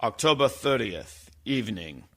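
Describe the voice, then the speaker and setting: A man's voice: medium pitch, lively intonation, measured pace, vocal fry, pushed and bright, heavily acted. An actor playing an earnest, anxious young man narrating his journal in a radio play. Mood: grave.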